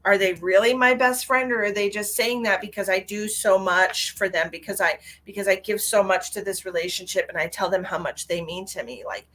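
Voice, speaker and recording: A woman, congested, chatting warmly with viewers during a live tarot reading. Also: mid-range voice, a little fast, hardly any pauses. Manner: chatty and affectionate, addresses viewers directly. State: stuffy, congested. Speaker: psychic tarot reader.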